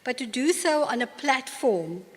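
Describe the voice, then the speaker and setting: An older woman with a South African accent giving a formal acceptance speech in a large university hall, measured and earnest. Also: high voice, lively intonation. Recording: long reverb of a large hall.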